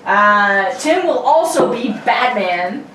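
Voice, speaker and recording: A woman's voice: on the high side, lively intonation, slow, a bit bright, recorded from a distance. A woman hosting a casual group chat, joking and laughing readily as she announces things. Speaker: host and announcer of a script-reading show. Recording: roomy, off-mic, noticeable background noise.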